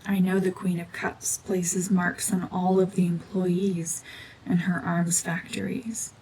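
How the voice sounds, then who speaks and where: A cold, battle-worn witch, played by a woman, speaks in a low, breathy hush. Her delivery is brooding and deliberate, with very little rise or fall in tone. It is a dramatic ASMR roleplay, with a faint low rumble in the recording. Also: level tone, poor audio. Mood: guarded, slightly softening. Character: cold, mean, world-weary gorgon witch, war veteran cyborg.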